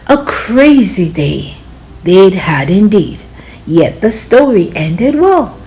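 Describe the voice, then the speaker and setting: A woman reading a children's storybook aloud in an expressive, dramatic way, drawing out words for young listeners. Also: medium pitch, a little hiss or hum, rough recording.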